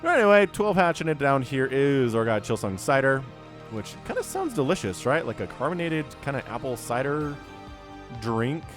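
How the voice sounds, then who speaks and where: A man's voice: mid-range voice, moderate pace, faint music behind. A man with a congested voice from a cold, casting a strategy game match in a chatty, joking way. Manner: chatty, joking asides, excited at fights. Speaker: StarCraft caster and show host. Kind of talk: casual game commentary.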